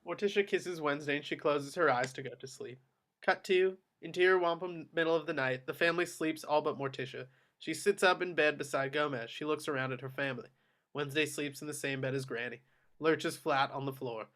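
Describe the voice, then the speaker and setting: A man's voice: on the high side, brisk, fairly bright tone. A man reading screenplay action descriptions aloud as narrator in scripted narration.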